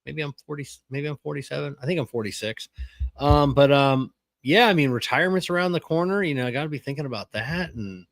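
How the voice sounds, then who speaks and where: A man's voice: a little high, fairly quick, no room sound. A man in his forties co-hosting a podcast, chatting in an easygoing way with stammered restarts and a lot of "you know". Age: 40s. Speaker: podcast co-host. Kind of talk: casual chat.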